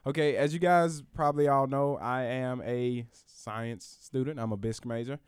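A man's voice: medium pitch, fairly even intonation, normal speed, smooth. A man in his twenties hosting a casual podcast, asking questions in loose, informal phrasing. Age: 20s. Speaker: podcast host.

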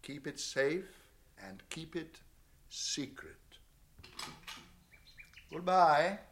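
An actor playing a wise, watchful old wizard with a British accent in a radio drama, speaking in a measured, cautionary and cryptic way. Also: male voice, fairly high pitch, very animated, fairly bright tone, slight background noise, exaggerated voice. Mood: urgent, secretive.

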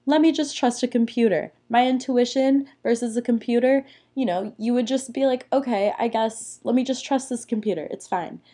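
A young woman hosting a casual solo podcast, hoarse and raspy from being sick, chatty and playful. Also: fairly high pitch, moderate pace, few pauses, mellow. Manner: chatty, playful, rambling with fillers. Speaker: podcast host. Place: small room at home.

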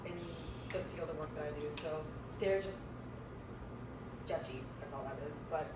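A young woman talking casually as a podcast co-host, playful and quick to laugh at herself. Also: low-pitched. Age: young adult. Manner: laughing, playful and self-deprecating. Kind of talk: casual chat. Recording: roomy echo, distant mic, noise.

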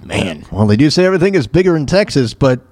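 A man's voice: a little high, big pitch swings, quick pace, a little mellow, slight background noise. A man hosting a talk radio show, commenting casually in a rambling, exclamatory way and repeating his phrases.

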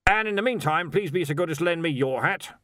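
A man voicing a pompous, blustering old wizard who heads a university, with a booming, imperious manner, in a storybook reading. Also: expressive, quick pace, pushed and bright, no room sound, clean studio sound. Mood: strained politeness.

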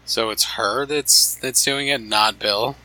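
Man, podcast co-host, sarcastic quips, sharp bright tone. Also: mid-range voice, takes it slowly, low-quality recording. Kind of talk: casual podcast chat.

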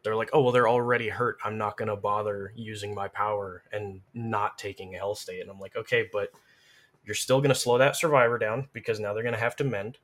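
A man's voice: lowish voice, steady tone, fairly quick, a bit bright. A man chatting casually as a guest, explaining video game play in detailed, analytical fashion. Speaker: podcast guest, gamer.

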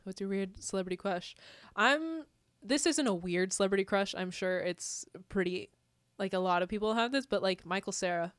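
A young American woman with a mid-pitched voice, recorded dry and close. She chats as a podcast co-host in a loose, joking way and giggles through a lot of what she says. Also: normal speed, smooth, no echo. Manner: giggly, laughs often while talking. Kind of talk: casual comedic banter chat.

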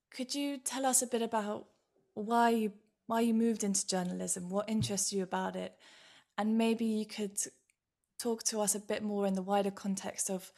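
A woman hosting an interview, asking questions in a soft, encouraging way. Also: mid-range voice. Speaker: podcast host. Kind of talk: interview conversation.

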